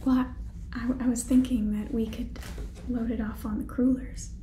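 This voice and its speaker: A young woman playing a brisk, scheming space-farm businesswoman in an ASMR sitcom, chatty and salesy in whispers and soft speech, stammering over her words. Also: fairly high pitch, flat delivery, a little hiss or hum, close to an everyday voice. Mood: busy, pleased. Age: young adult. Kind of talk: ASMR roleplay sitcom, whispered and softly spoken.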